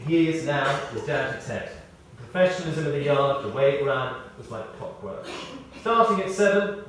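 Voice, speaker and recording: A man reading a prepared tribute aloud in short, measured phrases, with wry storytelling. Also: medium pitch. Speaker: tribute speaker. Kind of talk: read-out memorial tribute with anecdotes. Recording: echoey hall, distant, faint noise.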